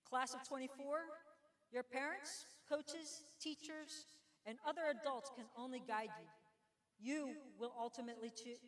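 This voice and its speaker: A middle-aged woman and Army veteran giving an earnest, uplifting prepared speech to graduates. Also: a little high. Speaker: guest speaker, Army veteran. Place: school auditorium. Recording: echoey hall.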